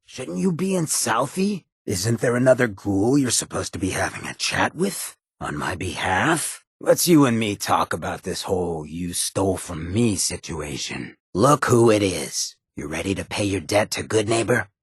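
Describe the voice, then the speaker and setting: An actor voicing a roguish, wry ghoul mayor in a video game, with a raspy voice and a sly, streetwise, swaggering drawl. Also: male voice, on the low side, lively intonation, normal speed, hardly any pauses, no echo, studio quality, full character voice. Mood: menacing, cool. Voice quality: raspy, gravelly. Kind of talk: video game character dialogue.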